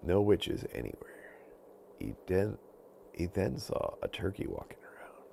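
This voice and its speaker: A man telling a bedtime story to children in an animated storytelling style, as a podcast host. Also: low voice, a little slow, gravelly, a little hiss or hum.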